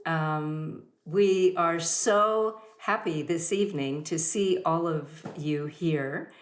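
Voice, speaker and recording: Lady hosting a yoga talk, deep voice, lots of ums, roomy echo. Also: slow pace. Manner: warm introductions with many fillers. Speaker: host of a yoga studio talk.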